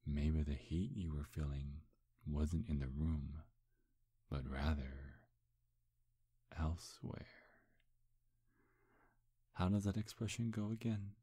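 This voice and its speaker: A man playing a smug, seductive demon in an intimate ASMR roleplay, breathy and husky, teasing in a hushed, hypnotic way. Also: extremely low pitch, slow pace, pauses often, no echo, clean studio sound, full character voice. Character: seductive, smug male demon posing as a handsome gentleman.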